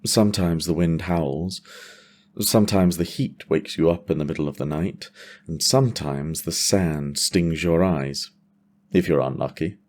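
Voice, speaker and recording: A man playing a battle-worn dragon rider father, terse and quietly tender, in a fantasy audio drama. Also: low voice, a little slow. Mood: dry, calm. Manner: terse, understated. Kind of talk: audio drama character dialogue.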